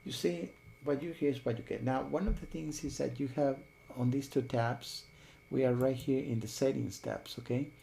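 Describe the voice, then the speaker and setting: A man hosting a software tutorial, explaining each step while thinking aloud. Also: mid-range voice, steady tone, normal speed, vocal fry, few pauses, a little hiss or hum. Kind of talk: screen-recorded tutorial.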